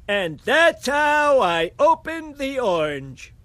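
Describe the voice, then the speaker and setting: High, swooping cranky old grandpa. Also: male voice, very animated, slow, background noise, full character voice. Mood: grumbling. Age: older. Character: cranky, wounded old grandpa, loud and melodramatic. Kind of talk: animated comedy voice acting.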